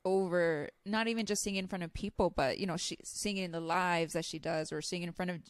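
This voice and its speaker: A woman co-hosting a casual podcast, chatty and animated as she retells what happened, a little stuffy. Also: lowish voice, quick pace, smooth, dry recording, studio quality. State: slightly stuffy. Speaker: podcast co-host and singer. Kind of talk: casual chat.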